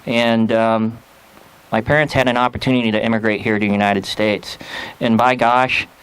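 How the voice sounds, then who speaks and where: A man from the Philippines speaks at a rally with a smooth, resonant voice. He talks in a halting way, pausing often with fillers as he tells his story. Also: fairly low pitch, little pitch movement, fairly bright tone, low-quality recording. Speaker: rally speaker, army veteran. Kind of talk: personal speech at a rally.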